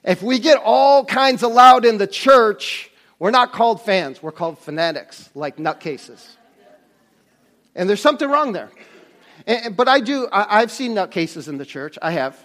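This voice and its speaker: Middle-aged male pastor, higher-pitched voice, earnest off-the-cuff preaching, stop-start delivery. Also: lively intonation, a bit bright. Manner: earnest, halting, often restarts and trails off. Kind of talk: conversational sermon. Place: church stage under bright lights.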